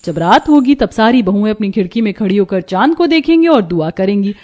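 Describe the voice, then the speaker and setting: A woman's voice: mid-range voice, dark tone. A woman reading scripted narration for a cartoon story.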